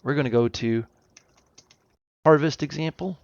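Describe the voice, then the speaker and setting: A man narrating an informal software demo as presenter, explaining things step by step. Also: a little high, measured pace, gravelly, no echo, lo-fi sound. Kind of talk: informal product walkthrough narration.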